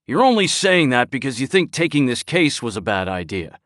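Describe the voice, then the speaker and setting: A man voicing a calm, dryly curious male detective partner who speaks in a clipped, matter-of-fact way. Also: medium pitch, moderate pace, dry recording, studio quality, voice pushed up. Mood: cool. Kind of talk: audiobook character voice.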